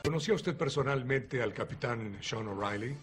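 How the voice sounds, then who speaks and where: A man's voice: mid-range voice, lively intonation, moderate pace. A man hosting an educational interview, explaining things conversationally. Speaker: host. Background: music underneath.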